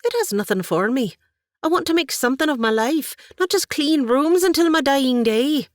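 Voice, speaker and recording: A woman's voice: fairly high pitch, very animated, a little slow, no room sound, clean studio sound, pitched above the performer's own voice. A woman voicing an earnest, stubborn young woman who speaks with rising passion. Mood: impassioned. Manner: emotional, raised voice.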